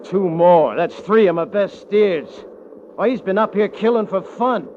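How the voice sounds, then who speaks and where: An American actor playing an arrogant, bullying cowboy rancher in a radio Western, with a folksy drawl and rough grammar. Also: male voice, high voice, a little slow, darkish tone, slight background noise. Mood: excited, alarmed. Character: arrogant, bullying, leering Western rancher man, mocking and short-tempered. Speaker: actor and first-person narrator. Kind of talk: old-time radio drama acting, rustic Western dialect. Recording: dull vintage radio recording with music behind.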